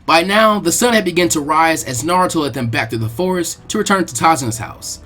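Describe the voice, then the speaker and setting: A male storyteller with a fairly high voice narrates an adventure from a script. His reading is expressive, and music plays softly behind him. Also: a little fast. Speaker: fan fiction reader, narrator. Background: faint music.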